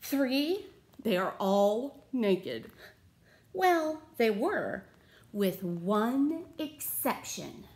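Animated, high-pitched woman reading a picture book. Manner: deliberate, theatrical emphasis, asks the listeners questions. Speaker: read-aloud storyteller. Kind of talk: expressive picture-book read-aloud for children. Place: small room at home.

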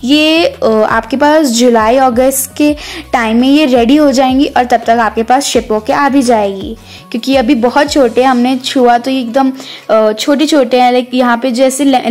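A woman's voice: a little high, darkish tone. A woman giving a chatty, casual vlog voice-over, mixing in English words. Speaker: vlog narrator. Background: background music.

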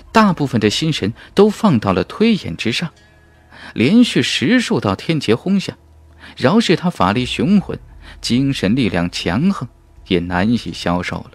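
A man's voice: medium pitch, no echo. A man reading a fantasy novel as an audiobook narrator in an emphatic, suspenseful, dramatic style.

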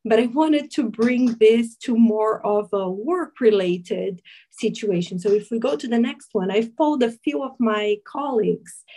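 A woman from Brazil speaks with a Brazilian Portuguese accent, her tone mellow and relaxed. She explains things in an earnest, steady way. Her voice comes through a video call. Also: on the high side, measured pace, low-quality recording. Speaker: guest speaker. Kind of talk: presentation to a video meeting.

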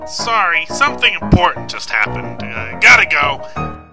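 A man's voice: on the high side, sing-song, a little slow, over music. A man playing a dry, awkward explorer's sidekick in a comedic radio drama.

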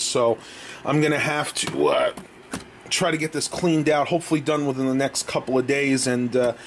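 Young American man vlogging, noisy audio. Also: mid-range voice. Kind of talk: casual vlog chat to viewers. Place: small home room, indoors. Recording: clearly noisy home camera audio.

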